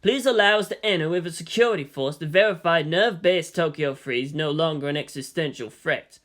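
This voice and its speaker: A narrator playing a weary male army general, formal and measured, who reasons and pleads firmly. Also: medium pitch, fairly bright tone, close to an everyday voice. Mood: measured, reasoning. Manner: measured, official, placating.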